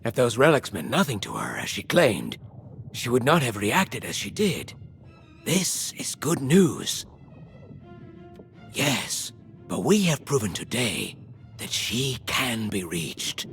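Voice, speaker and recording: An actor playing a solemn, earnest captive scholar in a video game drama, with measured, theatrical delivery. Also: male voice, medium pitch, huge pitch swings, gravelly, a bit bright, faint music behind, full character voice. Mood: hopeful, excited. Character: solemn captive scholar man reading scripture with earnest hope.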